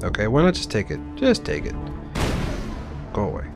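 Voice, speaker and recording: Low-voiced man casually commentating a game. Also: wildly swooping pitch, normal speed. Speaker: let's play host. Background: game music and sound.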